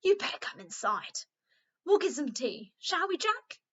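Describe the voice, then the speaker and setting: A woman's voice: high voice, very animated, measured pace, dull audio. A woman voicing a kindly, chatty old lady in a storybook, with a merry, reassuring manner. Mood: brisk welcome.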